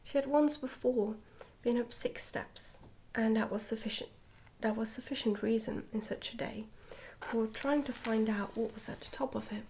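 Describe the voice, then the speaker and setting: A woman reading a children's storybook in a hushed, breathy whisper, gently and carefully, in ASMR style. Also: fairly high pitch, fairly even intonation, moderate pace, few pauses. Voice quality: whispery, breathy, soft-spoken. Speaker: ASMR book reader.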